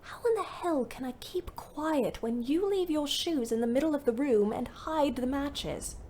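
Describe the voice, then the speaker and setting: A woman's voice: high voice, slight background noise. An actress playing a weary, bitter young ambulance driver, narrating her wartime diary expressively in an audio play. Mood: weary, bleak. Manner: measured, literate, expressive. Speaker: narrator and lead character.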